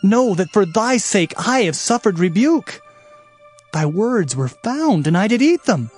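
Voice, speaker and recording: An earnest, troubled prophet in a scripture drama. His voice sits fairly high, solemn and plaintive in its declamation. Music plays underneath. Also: sing-song, a little slow, a little mellow, full character voice. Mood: anguished pleading.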